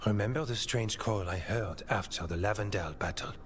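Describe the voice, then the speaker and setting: An actor playing an earnest young male elf alchemist in a video game, speaking in a thoughtful, measured way. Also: fairly low pitch, slight background noise, lightly acted. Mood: uneasy, thoughtful. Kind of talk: video game dialogue acting. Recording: music behind the voice.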